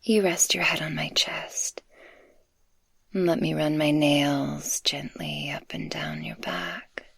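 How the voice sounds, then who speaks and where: A woman acts out a tender, possessive succubus guardian for an ASMR roleplay. Her voice is lowish and husky, with slow, intimate phrasing that often sinks toward a whisper. The sound is close and dry. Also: dry recording, exaggerated voice. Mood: tender, soothing. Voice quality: breathy, husky. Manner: slow, soft, affectionate, intimate. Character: tender, possessive female succubus guardian, playful and shy in love.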